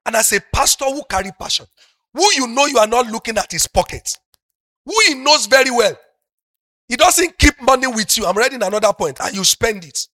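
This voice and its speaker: A middle-aged Nigerian pastor with a Yoruba-speaking accent preaching a sermon, moving from soft talk up to loud, passionate shouting. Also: male voice, very high voice, brisk, dry recording, clean studio sound.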